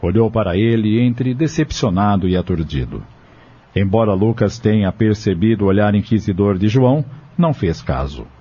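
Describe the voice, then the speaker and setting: A man narrates an audio novel in Brazilian Portuguese. His voice is fairly low and dark, with a husky grain. The recording is somewhat dull. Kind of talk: scripted audio drama narration.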